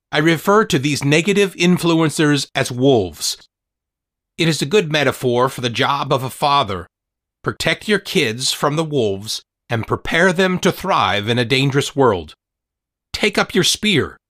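A man's voice: a little high, big pitch swings, a little slow, fairly bright tone, no room sound. Man reading scripted audiobook, dry sound. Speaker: author and podcast host reading his own book.

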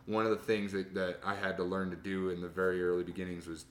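A man, a stand-up comedian, telling rambling, profane stories from his early sets in a casual chat, with a very loud voice. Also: low-pitched, flat delivery, quick pace. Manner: rambling storyteller, profane, laughs often. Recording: roomy echo.

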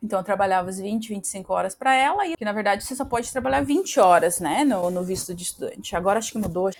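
A woman's voice: mid-range voice, expressive, fast, slight background noise. Chatty Brazilian woman, podcast guest. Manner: chatty, frequent fillers and restarts. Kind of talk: casual interview conversation.

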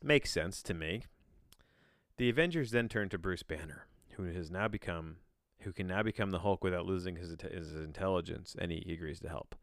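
A man's voice: low-pitched, moderate pace, no echo, studio quality. A man hosting a podcast, reading aloud with casual, rambling commentary and incredulous, sardonic asides. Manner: casual swearing. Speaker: podcast host. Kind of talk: casual solo commentary while reading a plot synopsis aloud.

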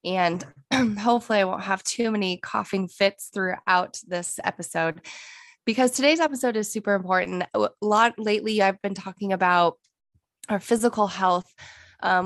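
A woman's voice: medium pitch, a bit bright, dry recording, studio quality. A woman in her thirties hosting a fitness podcast, talking casually and a bit nasally from a cold, rambling with fillers. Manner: chatty, rambling with fillers and restarts. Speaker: podcast host, fitness coach.

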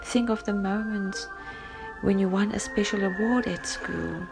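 A woman narrating a guided sleep story in a gentle, soft and breathy voice, often close to a whisper, with long pauses. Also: mid-range voice. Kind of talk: soothing bedtime story, guided relaxation. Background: music.

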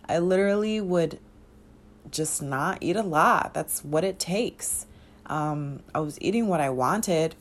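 A woman in her thirties hosting a casual solo podcast, relaxed and chatty with many fillers and soft laughs, her voice sounding sleepy. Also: low-pitched. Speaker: podcast host.

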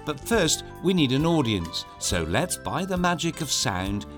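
A man tells a story to children in a playful, theatrical manner. His pitch swings widely and he takes his time explaining, with music clearly behind him. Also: big pitch swings, unhurried. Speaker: host and reader of a children's story show.

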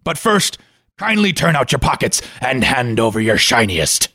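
Menacing comic pirate captain: raspy, forceful, stage pirate accent, radio-play bluster. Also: male voice, medium pitch, very animated, moderate pace, no echo, studio quality, full character voice. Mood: gruff, haughty. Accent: put-on stage pirate. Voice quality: gravelly, rough. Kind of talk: comic 1940s-style radio play acting.

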